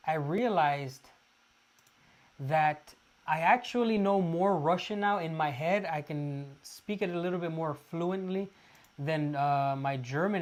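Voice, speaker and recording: Halting man on a livestream. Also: fairly high pitch. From Los Angeles, California. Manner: halting, restarts often with many "uh" fillers. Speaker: livestream host. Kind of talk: casual livestream chat.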